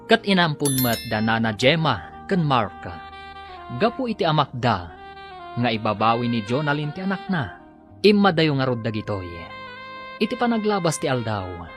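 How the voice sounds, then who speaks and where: This is a Filipino-accented narrator of a radio drama, reading scripted lines with a highly animated pitch swing. The voice sits at a middling pitch, with music clearly playing underneath.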